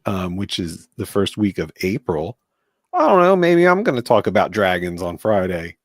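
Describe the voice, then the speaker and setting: A man's voice: low-pitched, big pitch swings, moderate pace, no room sound, studio quality. A man hosting a casual podcast chat from New Jersey, joking and rambling with lots of fillers. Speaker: host.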